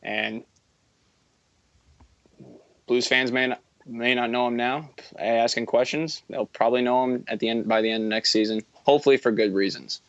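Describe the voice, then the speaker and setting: A young man in his early twenties chats on a fan podcast, rambling and correcting himself as he goes. His voice sits at a middling pitch. The recording is dull and lo-fi, with a dry, close sound. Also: fairly even intonation, normal speed, pauses often, no echo. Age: early 20s. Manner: rambling, self-correcting, enthusiastic fan talk. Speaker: podcast co-host. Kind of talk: casual sports chat.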